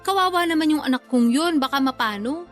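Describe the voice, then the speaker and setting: A woman's voice: sits high, lively intonation, a little fast, faint music behind. A Filipino actress playing a defensive, evasive older sister and mother in a radio drama, pleading and making excuses. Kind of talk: Tagalog radio drama acting.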